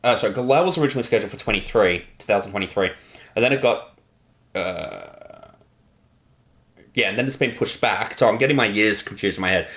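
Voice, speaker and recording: A man giving casual director's commentary in a rambling, halting way with some swearing. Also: lowish voice, expressive, a bit bright.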